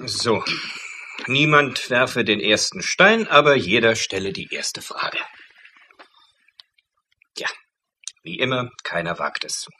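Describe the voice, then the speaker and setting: A man's voice: medium pitch, expressive, takes it slowly, long pauses, noisy background. An actor playing a ceremonious, wordy academic chairman with an ironic manner in a radio drama. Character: pompous, joking male academic committee chairman. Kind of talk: German radio play acting.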